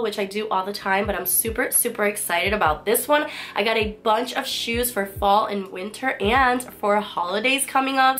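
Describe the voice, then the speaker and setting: A woman's voice: mid-range voice, fairly bright tone. A young woman chatting through a casual haul vlog indoors, her voice still recovering, bubbly and repeating words for emphasis. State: recovering from lost voice. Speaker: fashion haul vlogger. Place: bedroom with holiday tree and boxes, indoors.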